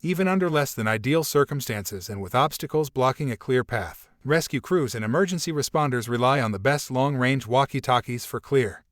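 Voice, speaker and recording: A man's voice: medium pitch, a little fast, hardly any pauses, no room sound. Man narrating a product review, dry studio sound.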